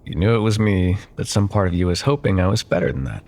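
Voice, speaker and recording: A man playing a smug, possessive corporate CEO and jilted ex-lover in an intimate ASMR roleplay, with silky condescension and pointed pauses. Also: low voice, lively intonation, vocal fry, a little mellow. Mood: smug, mocking.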